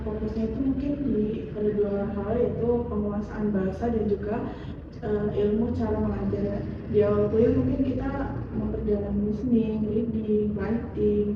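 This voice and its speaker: Young woman, casual answers, noisy dull recording, long echo. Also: fairly high pitch. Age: young adult. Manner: casual, with fillers and tag questions. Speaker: student interviewee. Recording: distant echoey dull recording with noise.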